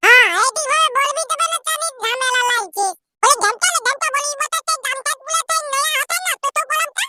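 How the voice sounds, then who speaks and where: A performer voicing a brash, slangy young village lad in a comedy cartoon, with a Purulia accent and a squeaky pitched-up voice. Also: fast. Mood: brash, bantering. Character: rowdy young village lads squabbling and teasing, cartoon. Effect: pitched-up voice filter. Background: loud music.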